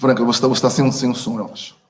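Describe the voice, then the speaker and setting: A man introducing a guest at an online academic seminar, halting often with fillers and self-corrections. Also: medium pitch, little pitch movement, a little mellow. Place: video call.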